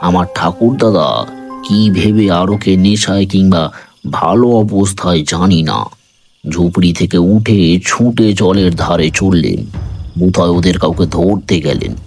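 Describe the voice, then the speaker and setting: A man reading a literary story in a measured, dramatic narrating style. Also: deep voice, a little mellow, soft background music.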